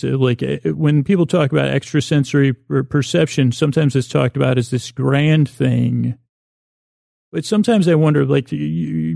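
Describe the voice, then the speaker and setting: Creaky-voiced man, rambling and mellow. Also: mid-range voice, no room sound, studio quality. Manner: meandering, halting, trails off into unfinished sentences. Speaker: podcast host. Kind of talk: rambling bedtime podcast monologue.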